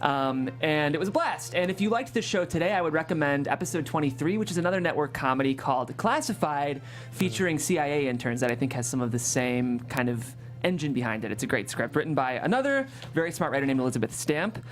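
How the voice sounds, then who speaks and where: A man's voice: a little high, expressive, fast. A man hosting a panel show in a casual, conversational style. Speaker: host.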